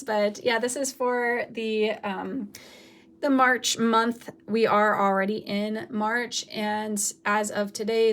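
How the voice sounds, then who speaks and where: Woman, raspy from being sick, tarot reader, rambling and searching, casual chat. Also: a little high. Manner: rambling, loose and searching, many fillers. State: raspy from recovering from flu. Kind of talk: casual pick-a-card tarot reading.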